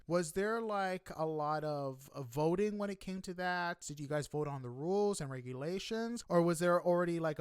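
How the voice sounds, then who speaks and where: A man hosting a casual podcast interview, chatting informally and a little haltingly. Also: fairly high pitch, smooth, no echo, clean studio sound.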